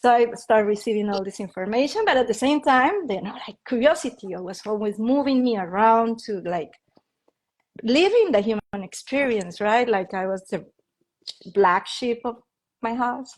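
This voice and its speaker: A woman's voice: a little high, animated, normal speed, low-quality recording. A woman in her fifties from Colombia, with a Colombian Spanish accent, telling her life story in a casual interview with many "like" fillers. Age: 50s. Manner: rambling, with frequent "like" fillers and light laughs. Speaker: interview guest, feng shui and Reiki practitioner.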